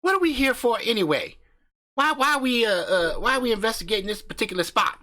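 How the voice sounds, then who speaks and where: A man voicing a loud, crude, profane husband who hunts ghosts with his wife, swaggering and brash. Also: very high-pitched, animated, fast talker, voice pushed up, heavily acted. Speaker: comedic character.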